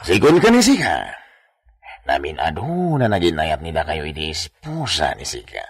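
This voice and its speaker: An actor playing a sly, insinuating friend in a radio drama, theatrical and raspy. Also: male voice, very deep voice, wildly swooping pitch, normal speed, no echo, exaggerated voice. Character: sly, insinuating male friend of wealthy landowner.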